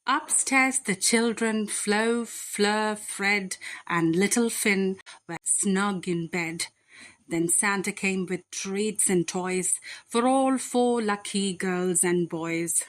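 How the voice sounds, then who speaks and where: A woman reading a rhyming children's bedtime story aloud in a deliberate, expressive, dramatic way. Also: mid-range voice.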